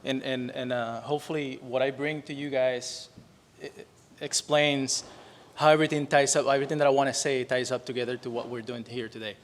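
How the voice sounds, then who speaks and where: A man's voice: medium pitch, flat delivery, fairly quick. A man in his forties from Puerto Rico with a Puerto Rican Spanish accent, a native Spanish speaker giving chatty opening remarks and often tagging his sentences with "right?". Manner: chatty, frequent "right?" tags, self-deprecating. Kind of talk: casual storytelling speech.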